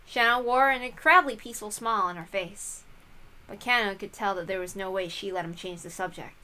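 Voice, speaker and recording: A woman's voice: medium pitch, a little fast, pushed and bright. A woman reading a visual novel aloud as scripted narration.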